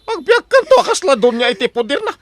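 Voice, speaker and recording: An actor playing a loud, domineering parent in an Ilocano audio drama, forceful and scolding. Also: high-pitched, sing-song, fast. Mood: scolding, insistent.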